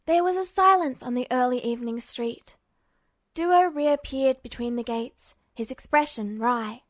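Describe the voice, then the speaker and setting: A woman's voice: sits high, measured pace, no echo, studio quality. A woman reading scripted fiction aloud as an audiobook narrator. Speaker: fan fiction audiobook reader.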